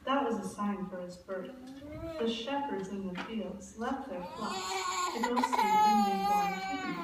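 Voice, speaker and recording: A woman's voice: medium pitch, close to an everyday voice. Woman as an earnest innocent girl, very echoey hall. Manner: earnest, explanatory storytelling. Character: innocent, earnest little girl puppet who knows her Bible. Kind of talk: church puppet show acting. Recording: very echoey hall, far mic, noisy.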